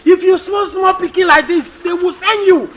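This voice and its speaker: Man playing a high-pitched, bossy Ghanaian elder. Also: moderate pace, a bit bright. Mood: indignant. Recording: very noisy, clicking background.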